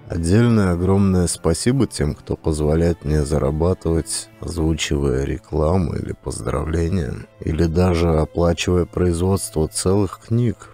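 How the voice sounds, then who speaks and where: A man reading an audiobook and then thanking and addressing his listeners earnestly. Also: deep voice. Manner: earnest, warm direct appeal to listeners. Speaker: audiobook narrator. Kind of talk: scripted audiobook narration. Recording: faint background music.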